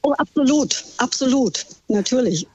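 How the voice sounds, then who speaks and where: A woman's voice: sits high, expressive, moderate pace, husky, no echo. A woman from Germany working as a tour guide on a ship, calling in to a radio show. She talks in a friendly way and hesitates with many fillers. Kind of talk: phone interview. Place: on a ship's deck at sea. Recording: slightly dull phone line.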